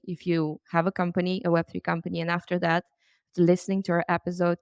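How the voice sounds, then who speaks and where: A woman's voice: on the low side, clear, resonant tone, no echo. A woman hosting a podcast interview, speaking in an upbeat, welcoming way. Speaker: podcast host.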